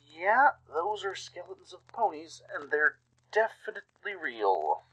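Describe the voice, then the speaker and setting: A man's voice: sits high, big pitch swings, measured pace, a bit bright, husky, voice pushed up, full character voice, poor audio. A man voicing an anxious young filly pony who talks herself through her fears in a radio play. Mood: shaken. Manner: stammers when scared, talks to herself.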